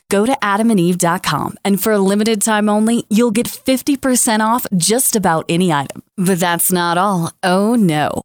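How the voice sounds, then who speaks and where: A woman's voice: mid-range voice, a little mellow, dry recording, clean studio sound. A woman announcer reading a scripted radio advertisement in a teasing, suggestive manner.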